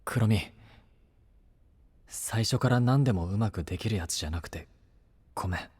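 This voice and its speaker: An actor playing an earnest, devoted young knight in a Japanese voice drama, speaking in a deliberate, halting way. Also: male voice, on the low side, darkish tone, husky. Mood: solemn resolve. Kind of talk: Japanese voice drama acting, monologue. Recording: music behind the voice.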